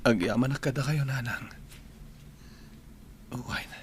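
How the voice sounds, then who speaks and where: A man's voice: mid-range voice, unhurried, natural voice. Guy playing sad widowed son, ilocano accent, radio drama, bit of noise behind him. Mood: sorrowful, grateful. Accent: Ilocano Filipino. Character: grieving widowed son, sorrowful and devoted to his wife.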